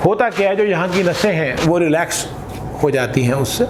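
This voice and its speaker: An Indian man giving instructional talk as a voice trainer, speaking in an emphatic, deliberate way and mixing Hindi with some English. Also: lively intonation. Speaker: voice trainer for radio jockeys. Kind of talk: instructional talk with spoken demonstrations. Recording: echoey room with faint rumbling noise.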